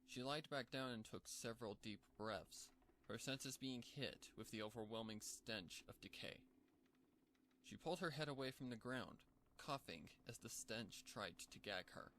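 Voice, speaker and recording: A man reading a dark fan fiction story aloud as scripted narration. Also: medium pitch, unhurried, slight background noise.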